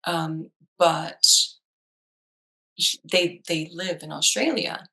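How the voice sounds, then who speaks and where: A woman in her thirties whose first language is Danish talks casually with a bright, forceful edge to her voice. Her pitch sits a little low, and she restarts sentences often, with plenty of fillers. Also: slow, creaky. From Denmark. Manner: chatty, with many fillers and restarts. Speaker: psychologist. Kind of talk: casual chat.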